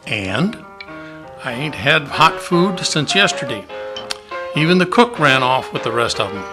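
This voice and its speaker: A man's voice: mid-range voice, sing-song, unhurried, over music. A man playing a harried, pushy cattle-drive cowboy with folksy Old West speech in an amateur radio play. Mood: worried, frustrated.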